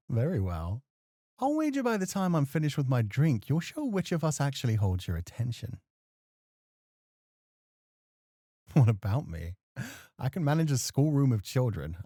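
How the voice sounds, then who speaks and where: A man's voice: medium pitch, big pitch swings, normal speed, dry recording, clean studio sound. A man playing a gracious, teasing professor moonlighting as a club host in a flirty ASMR roleplay. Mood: playful challenge. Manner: teasing, playful, softly courteous. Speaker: voice actor.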